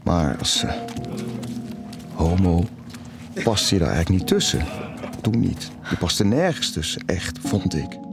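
Remorseful middle-aged father, ex-military, low register, halting delivery, audio drama with music behind. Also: very animated, full character voice. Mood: reminiscing. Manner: halting, searching for words. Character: ex-military father, awkward, remorseful, confessing a secret. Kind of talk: audio drama monologue and dialogue.

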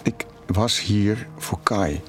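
Remorseful middle-aged father, ex-military, low register, halting delivery, audio drama with music behind. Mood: hesitant confessing. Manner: halting, searching for words. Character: ex-military father, awkward, remorseful, confessing a secret. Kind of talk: audio drama monologue and dialogue.

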